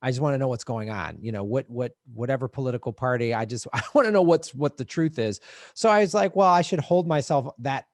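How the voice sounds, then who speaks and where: A man's voice: medium pitch, quick pace, no echo, clean studio sound. A middle-aged man from the American Midwest, a comedian telling stories in a casual chat and laughing often. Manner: animated storyteller, laughs often, acts out voices. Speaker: podcast co-host, touring comedian.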